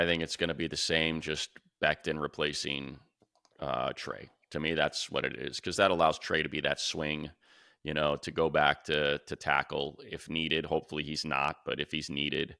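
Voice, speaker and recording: A man's voice: very deep voice, creaky, sharp tone, no room sound, studio quality. A man hosting a sports talk show, casually analysing in an emphatic, conversational way and repeating points for stress.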